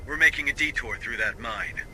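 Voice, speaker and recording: A man's voice: medium pitch, fairly quick, bright, forceful tone, a little hiss or hum. A man playing a no-nonsense space marine sergeant in a video game, commanding and clipped. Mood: firm, commanding. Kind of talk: scripted video game dialogue. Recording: faint background ambience.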